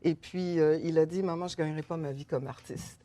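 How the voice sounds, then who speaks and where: A middle-aged woman from Canada talks through her life as an interview guest. She is thoughtful and unhurried, with frequent fillers and soft laughs between her thoughts. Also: low voice, steady tone. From Canada, Haitian-born. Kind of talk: conversational interview.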